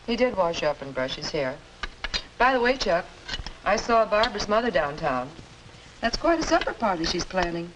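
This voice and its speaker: An actress playing a mild, gently correcting middle-aged American mother in a 1950s educational film. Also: lowish voice, very animated, moderate pace. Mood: conciliatory, chatty. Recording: noisy 1950s film soundtrack.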